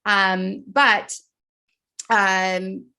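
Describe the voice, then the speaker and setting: A woman's voice: medium pitch, little pitch movement, extremely slow, pushed and bright, clean tone. A woman from the Philippines giving an earnest personal talk over a video call, with frequent fillers and restarts. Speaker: speaker.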